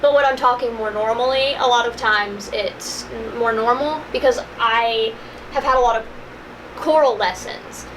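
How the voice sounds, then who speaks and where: A woman's voice: on the high side, normal speed. A young woman from West Virginia with a slight Appalachian accent, chatting casually as a vlog co-host and speaking with careful enunciation and rounded vowels. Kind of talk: casual chat. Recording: noisy home recording.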